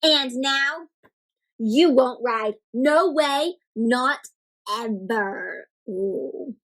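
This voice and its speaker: A high-voiced woman reads a picture book aloud for children. She tells it expressively, with exaggerated gasps, and often turns to her young listeners with direct questions. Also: drawn-out words, fairly bright tone, a little hiss or hum. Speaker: children's read-aloud host.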